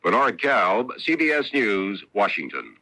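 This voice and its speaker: An American man giving a scripted radio news report as a correspondent, with emphatic, measured analytical phrasing. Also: lowish voice, big pitch swings, bright, forceful tone, no room sound, low-quality recording.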